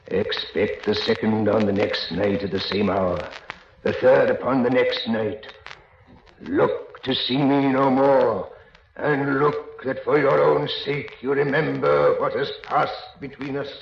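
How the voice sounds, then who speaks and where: A man's voice: mid-range voice, fairly even intonation, slow pace, vocal fry. An actor playing the solemn, mournful ghost of a dead businessman, speaking with grave declamation in 1930s radio style. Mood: solemn warning. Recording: muffled, noisy, echoing 1930s radio recording.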